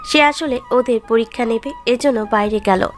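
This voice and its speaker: A woman's voice: on the high side, moderate pace, soft background music. A woman narrating a scripted romantic story as its storyteller.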